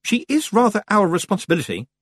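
A man's voice: high voice, wildly swooping pitch, fast talker, slightly rough, no room sound, voice pushed up, heavily acted. A man voicing a prim, proper, fussy and anxious woman witch in an audiobook. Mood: pleading.

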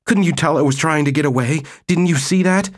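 A man's voice: on the high side, fairly quick, voice pushed up, close to an everyday voice. A man doing a whining cowardly husband. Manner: whining, pleading. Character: cowardly middle-aged husband, plaintive and whining.

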